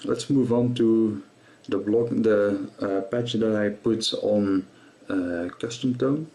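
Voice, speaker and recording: Lowish gravelly guy walking thru a guitar gear setup, casual, kinda halting and repeats words. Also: dark tone. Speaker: presenter of a guitar gear tutorial. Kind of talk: casual explanatory tutorial narration.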